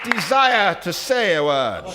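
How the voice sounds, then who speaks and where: A man playing a sly, self-assured gentleman speculator in a stage radio play, speaking formally like an orator. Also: high-pitched, very animated, slow pace, over a noisy crowd, heavy background noise, full character voice. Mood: oratorical, persuasive. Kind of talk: stage radio drama acting.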